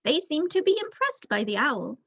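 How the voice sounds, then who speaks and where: Lady reading as a worried mama mouse in a kids story, gentle explaining, highish, dull dry sound. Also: big pitch swings, normal speed, creaky, dry recording, full character voice. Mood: reassuring, motherly. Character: worried, kindly mother field mouse.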